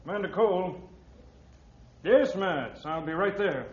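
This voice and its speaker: An actor playing a businesslike, polite navy commander in a 1950s radio drama. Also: male voice, high-pitched, lively intonation, unhurried, breathy edge, exaggerated voice. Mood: matter-of-fact. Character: brisk, courteous male navy destroyer commander. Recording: noisy, dull old radio recording.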